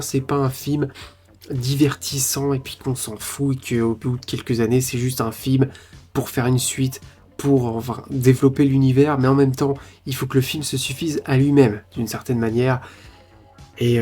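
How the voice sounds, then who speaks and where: A man hosting a casual trailer reaction, excited and rambling, with many fillers and restarts. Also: mid-range voice, moderate pace, slightly rough, soft background music. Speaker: channel host reacting to trailers.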